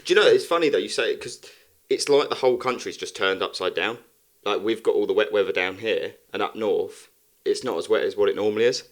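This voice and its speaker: A man's voice: lowish voice, fast talker, few pauses. A man chatting casually with friends as a co-host on a podcast. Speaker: farmer.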